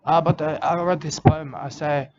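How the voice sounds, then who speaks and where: A man's voice: on the high side, normal speed, close to an everyday voice. A man voicing a boastful, rambling Australian wildlife-loving bloke with an Australian accent, in broken English, saying "mate" constantly. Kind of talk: comedy character monologue. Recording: muffled lo-fi with steady background noise.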